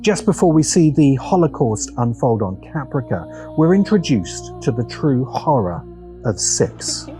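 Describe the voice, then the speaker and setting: A man delivers scripted commentary in the manner of a video essay host. His pitch moves around a great deal as he sets phrases apart with deliberate, dramatic pauses. Music plays clearly behind him. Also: sing-song, mellow. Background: background music.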